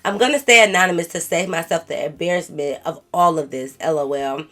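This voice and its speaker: A woman's voice: lowish voice, brisk, fairly bright tone. A young woman vlogger with a stuffed-up cold voice, reading a viewer's story aloud and talking back to it in sassy slang. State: congested from a cold. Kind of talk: casual storytime, reading a viewer's letter and reacting.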